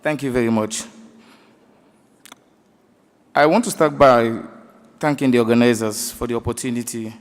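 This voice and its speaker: A man's voice: medium pitch, fairly even intonation, a little fast. Man orating in an echoing hall. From Sierra Leone. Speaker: government minister giving a speech. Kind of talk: formal ceremonial public speech. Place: large indoor conference hall. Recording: echoey hall, long reverb from PA.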